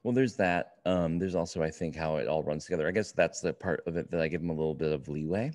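Very deep-voiced man, rambling podcast chat. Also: a little mellow, dry recording, clean studio sound. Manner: rambling, halting, profane. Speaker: podcast co-host. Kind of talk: casual book review chat. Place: indoor room, remote video call.

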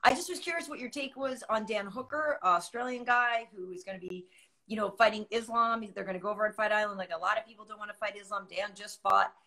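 A woman in her forties from Boston hosting a casual show over a video call, friendly and brisk as she steers the conversation. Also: medium pitch, sharp tone, low-quality recording. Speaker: host. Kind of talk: casual chat. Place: home room.

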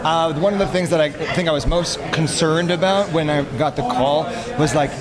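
Animated storytelling man in a noisy crowd. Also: on the high side, moderate pace. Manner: animated storytelling, self-mocking. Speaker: actor guest. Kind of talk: casual interview chat. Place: busy indoor fan event hall. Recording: loud crowd noise. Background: loud crowd chatter.

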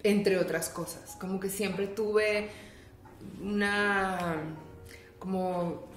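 A young Colombian woman chats as a guest on a home talk show, playful and laughing easily, her voice pitched mid-range for a woman. Her accent blends in bits of Mexican speech. The living room gives a noticeable echo. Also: fairly even intonation, extremely slow, faint music behind. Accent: Colombian, mixed with Mexican. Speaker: guest, actress. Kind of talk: casual interview chat. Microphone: stand mic on coffee table.

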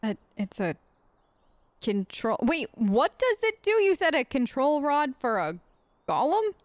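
High, lively woman role-playing through a game. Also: measured pace, no room sound. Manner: hesitant, conversational, questioning. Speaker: let's play host. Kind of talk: casual improvised role-play game commentary.

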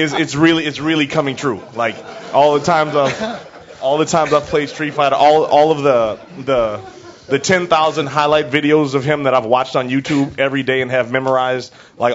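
A young man answering questions at a press conference, rambling and excited, full of restarts. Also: mid-range voice, brisk. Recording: dull lo-fi press audio with faint noise.

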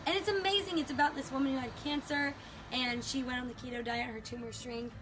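Young adult woman, high voice, enthusiastic co-host, outdoor deck with clear noise. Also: steady tone, fast talker. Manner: enthusiastic, frequent affirmations. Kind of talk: casual chat.

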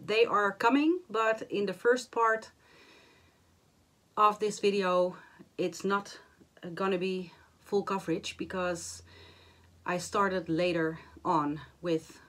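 A woman in her forties, hoarse and a little nasal after the flu, chatting to camera about her stitching projects from a small home craft room, with many fillers and restarts. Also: on the low side. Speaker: cross-stitch vlogger. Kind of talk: casual chat to camera.

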